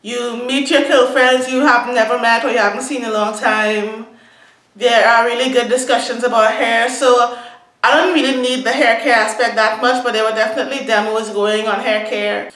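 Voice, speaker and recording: A young woman talks straight to the camera in a chatty, upbeat way, reviewing her day out. Her voice sits a little high and carries some room echo, as if the camera is a few feet away. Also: fairly even intonation, normal speed, a bit bright, distant mic. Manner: chatty, upbeat, thinking aloud. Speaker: vlogger. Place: small room indoors. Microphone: camera in front of her.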